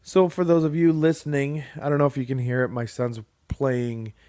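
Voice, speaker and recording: A man hosting a podcast in casual conversation, speaking in a halting, hesitant way with dry joking. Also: medium pitch, dark tone. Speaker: podcast host.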